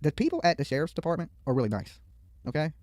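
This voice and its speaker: A man's voice: a little high, big pitch swings, fast talker, darkish tone, a little hiss or hum. A man hosting a livestream with casual, blunt, opinionated commentary and plenty of colloquial swearing. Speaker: YouTube livestream host. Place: studio.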